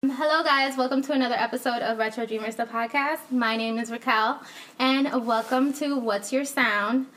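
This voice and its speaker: Chatty woman podcast host, high voice, casual and giggly, heavy on fillers. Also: normal speed, a bit bright, low-quality recording. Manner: chatty, laughs often, many fillers. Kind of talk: casual interview chat.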